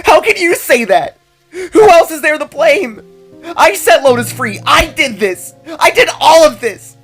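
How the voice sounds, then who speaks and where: Young spark creature boy, way high pitched, crying and stammering, full of guilt, music behind. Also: very animated, unhurried, fairly bright tone, full character voice. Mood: angry despair. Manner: whimpering, stammering, bursting into near-screams. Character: anguished young male spark creature, guilt-ridden and grieving.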